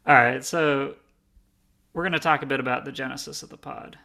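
A man's voice: mid-range voice, steady tone, brisk, vocal fry, sharp tone. A man in his early thirties co-hosting a podcast in a rambling, self-deprecating, casual way. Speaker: podcast co-host.

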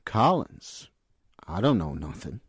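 Man playing a drawn-out, surly morgue attendant. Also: low-pitched, sing-song, breathy edge, heavily acted. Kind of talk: 1940s radio drama acting.

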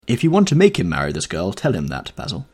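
A man voicing a languid, witty aristocratic gentleman, with a drawling manner, in a storybook reading. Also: low-pitched, huge pitch swings, fast, breathy edge, heavily acted. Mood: amused.

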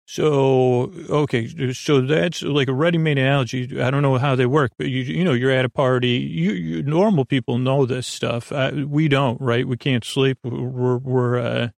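A man hosting a bedtime podcast, rambling in a halting, self-deprecating way, often stammering and repeating himself as he wanders off on tangents. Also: mid-range voice, steady tone, gravelly, dry recording. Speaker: podcast host.

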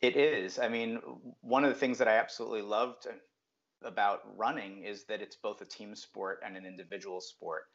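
A man's voice: on the low side, fairly even intonation, normal speed, a bit bright. A middle-aged man from New Jersey, talking casually as an interview guest with many filler words. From New Jersey, USA. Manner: reflective, frequent um fillers. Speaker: guest, brand strategist. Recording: dull lo-fi audio.

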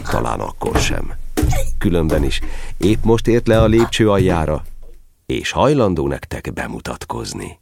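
A man playing a wry, patient narrator telling a children's story in a radio play, with a playfully deliberate delivery. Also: sits low, big pitch swings, creaky, faint music behind, exaggerated voice. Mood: wry storytelling.

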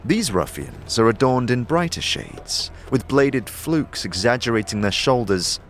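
A man's voice: mid-range voice, big pitch swings, measured pace, a little hiss or hum. A man narrating a scripted fantasy audio drama in a theatrical, descriptive storytelling manner.

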